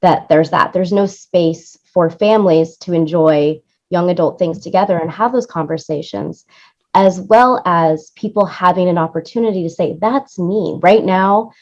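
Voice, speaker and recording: A woman's voice: on the low side, normal speed, a little mellow, highs cut off. A woman talking conversationally as an interview guest, explaining things in a chatty way and checking in with the listener as she goes. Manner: chatty, explanatory, often tags points with "right?". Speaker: interview guest, music supervisor and producer.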